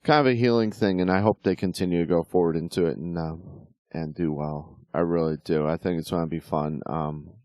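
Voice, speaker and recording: A middle-aged man hosting a casual sports talk show, slightly hoarse, rambling with lots of fillers and restarts. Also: deep voice, steady tone, a little fast, hardly any pauses, darkish tone, dry recording, studio quality. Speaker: podcast host.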